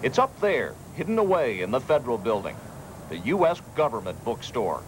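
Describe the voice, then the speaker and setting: A man's voice: mid-range voice, sing-song, moderate pace, low-quality recording. An American man working as a television reporter, reading scripted feature narration. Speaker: TV news reporter. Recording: faint background noise, 1980s TV broadcast.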